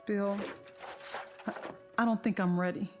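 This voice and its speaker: A woman's voice: medium pitch, fairly even intonation, measured pace, darkish tone, soft background music, natural voice. An American woman acting in a radio drama as a frightened, weary wife and mother close to panic. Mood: weary, fearful.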